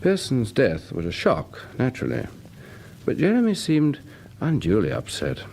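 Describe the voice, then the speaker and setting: A British man acting as a sensible, brisk doctor in a radio drama, talking in an animated, somewhat patronising way. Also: medium pitch, a little hiss or hum. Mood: grave, measured. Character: sensible, brisk English male doctor recounting and reassuring.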